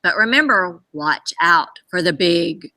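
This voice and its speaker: A woman's voice: on the low side, expressive, unhurried, sharp tone, voice pushed down, full character voice. A woman voicing a caring mother alien in a storybook, motherly and cautioning as she sends her children off.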